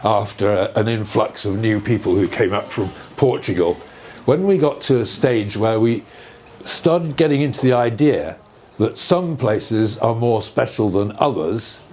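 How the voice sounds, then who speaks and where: An older man giving an informal, measured explanation in conversation, often checking with rhetorical questions. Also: fairly low pitch, a little mellow. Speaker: speaker on earth energies and ancient sites. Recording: noticeable background noise.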